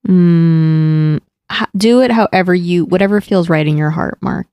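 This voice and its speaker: A podcast co-host chatting casually, relaxed and explanatory. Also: medium pitch, unhurried, clear, resonant tone, no room sound. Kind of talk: casual chat.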